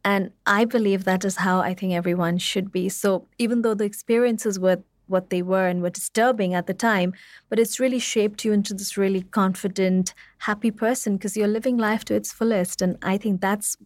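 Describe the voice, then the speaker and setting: A woman's voice: mid-range voice, fairly even intonation, normal speed, dry recording, clean studio sound. Effusive woman podcast host. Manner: warm, affirming, effusive. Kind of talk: conversational interview.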